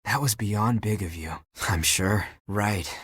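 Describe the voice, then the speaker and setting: A man's voice: on the low side, takes it slowly, fairly bright tone, husky, no room sound, full character voice. A man playing a living pile of laundry in a dating game, a flirtatious and sensitive swaggerer with a theatrical, emotive delivery. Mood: sincere, grateful. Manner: flirtatious, theatrical, emotive, swinging from guarded to grandiose. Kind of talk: dating game character voice acting.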